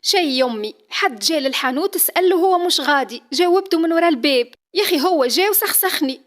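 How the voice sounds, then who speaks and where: A woman's voice: high-pitched, animated, quick pace, pitched above the performer's own voice. A woman voicing a timid young Tunisian daughter. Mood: protesting. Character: young daughter, timid but quick to protest.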